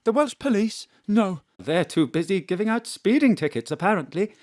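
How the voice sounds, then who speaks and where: An actress playing a brisk female city mayor who speaks formally and politely, then bluntly. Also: mid-range voice, very animated, a little mellow, close to an everyday voice. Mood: businesslike. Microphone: home studio mic.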